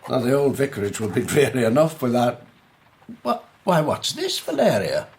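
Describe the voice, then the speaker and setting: An actor playing a fussy, kindly old Victorian vicar uncle in a period radio drama, avuncular and given to exclaiming. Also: male voice, mid-range voice, huge pitch swings, normal speed, slight background noise. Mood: warm, flustered. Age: older.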